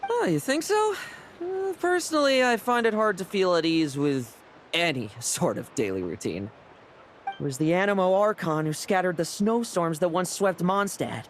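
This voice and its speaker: An actor playing a jaded young male knight in a video game, dry, restless and measured in his speech. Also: sits high, lively intonation, a bit bright, slight background noise. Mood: brooding. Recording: game music underneath.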